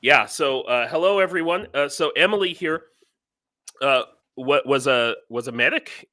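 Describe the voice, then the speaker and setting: A man's voice: mid-range voice, animated, normal speed, sharp tone, no room sound. A man hosting a casual livestream interview, rambling a little and often repeating himself as he feels for his words. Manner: hesitant, rambling, repeats phrases.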